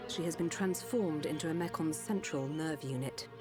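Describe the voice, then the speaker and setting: Female Machina doctor, voiced by a woman, calm, precise, slightly low, game music behind. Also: normal speed, close to an everyday voice. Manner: calm, clinical explanations.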